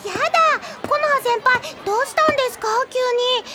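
A woman voicing a bubbly, bashful younger high-school girl in an audio drama, chirpy and polite. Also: a little fast, background noise. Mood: cheerful. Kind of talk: Japanese audio drama acting.

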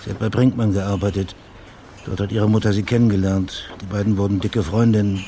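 Low-voiced, matter-of-fact male investigator, acted by a man. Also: flat delivery, a little fast, dark tone, slight background noise, close to an everyday voice. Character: probing, plain-spoken male private investigator named Max, quietly insistent. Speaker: investigator in a crime story. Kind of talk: German radio crime drama acting.

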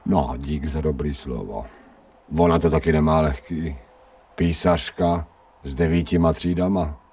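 An actor playing a scrawny, streetwise stray dog in a radio drama, grumbling in colloquial speech with sardonic self-pity. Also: male voice, a bass voice, a little mellow, breathy edge, recorded from a distance, full character voice. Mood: wry, gruff. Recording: 1990 radio drama, off-mic.

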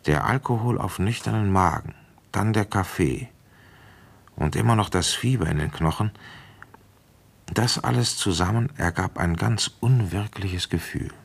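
A very low male voice with heavy creak: a weary, shrewd police inspector in a radio play. He broods aloud in slow, deliberate phrases, reflective and halting as he thinks. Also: full character voice. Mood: brooding, reflective. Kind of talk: German radio play acting, first-person narration and dialogue.

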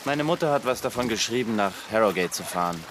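A man's voice: on the low side, lively intonation, fast, background noise, close to an everyday voice. An actor playing an irritable, proud young man in conversational radio play dialogue. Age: young adult.

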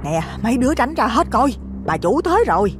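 Man playing an old female housekeeper, high, lively, urgent and respectful, storybook tale. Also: a little fast, over music. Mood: bossy. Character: loyal older female head housekeeper.

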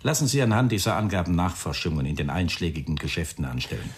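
Low, sardonic older police inspector. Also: male voice, quick pace, dry recording. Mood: brisk, probing. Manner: dry, sardonic, gruffly commanding. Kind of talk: German radio crime drama acting.

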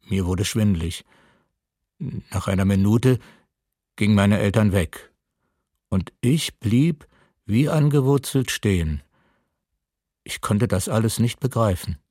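An actor playing a stunned male survivor haltingly remembering childhood horror in a documentary radio drama. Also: on the low side, warm tone, husky, dry recording, clean studio sound.

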